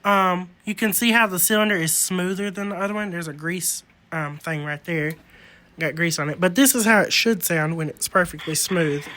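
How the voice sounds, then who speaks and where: Bright-voiced man giving a hands-on demonstration. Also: high voice, moderate pace, slight background noise. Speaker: presenter demonstrating antique recording equipment.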